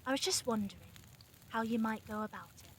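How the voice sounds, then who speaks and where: Actress as scientific-minded woman, high pitch, sensible, crisp manner, faint noisy ambience, audio drama. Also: a little fast, close to an everyday voice. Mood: questioning. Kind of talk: fan audio drama acting.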